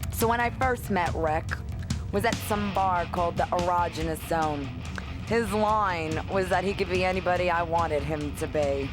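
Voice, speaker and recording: Brassy, jaded female agent, played by an actress, lowish voice, blunt interview delivery over music. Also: normal speed, natural voice. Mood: brash, wry. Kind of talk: mockumentary interview acting.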